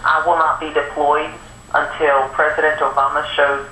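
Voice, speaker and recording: A woman's voice: sits low, flat delivery, moderate pace, bright, forceful tone. A woman taking a phone call as an office staffer, asking short, terse questions over a telephone line. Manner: terse, inquiring. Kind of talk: phone conversation, brief questioning. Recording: noisy, echoey telephone line.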